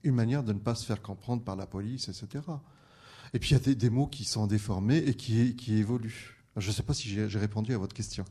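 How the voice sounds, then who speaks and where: Male teacher, panel guest, lower pitch, hesitant with many restarts. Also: brisk, mellow, breathy edge. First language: bilingual French-Arabic. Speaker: French teacher. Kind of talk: panel discussion.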